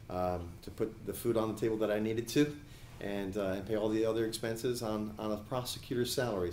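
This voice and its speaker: A man in his forties, originally from New Jersey, speaks with a fairly low voice in a measured, deliberate way, pausing often on small fillers as he gathers his thoughts. He answers interview questions about his career. His voice carries a noticeable roomy echo. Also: darkish tone, slight background noise. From New Jersey, USA. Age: 40s. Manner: measured, frequent hesitation fillers. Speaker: attorney and judicial candidate. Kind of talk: editorial board interview.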